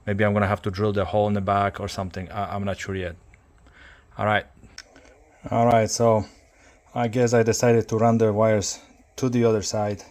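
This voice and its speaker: A man giving a casual hands-on tutorial, speaking haltingly as he thinks aloud while working. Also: on the low side, level tone, a little mellow. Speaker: CB radio hobbyist demonstrating an installation.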